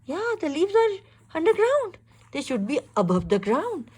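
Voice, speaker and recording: A woman reads a children's picture book aloud in an expressive, instructive way, pausing to ask questions. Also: very high voice. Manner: expressive, instructive, exclaims and checks understanding. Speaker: reader teaching a young child.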